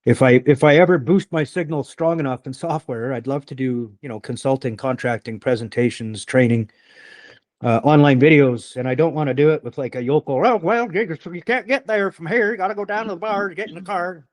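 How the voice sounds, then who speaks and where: A man's voice: on the high side, a little fast, no echo. A man hosting a podcast in casual conversation, rambling and swearing often, with a slight rural twang. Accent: slight rural Canadian twang. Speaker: podcast host.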